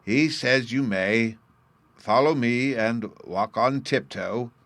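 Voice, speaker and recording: A man's voice: mid-range voice, takes it slowly, a bit bright, voice pushed up. A man reading aloud, voicing a mild, puzzled old professor in a storybook.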